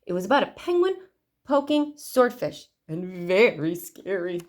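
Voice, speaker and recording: A woman's voice: on the low side, sing-song, measured pace, a little mellow. A woman reading a picture book aloud to children expressively, stretching key words for emphasis. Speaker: library storytime reader.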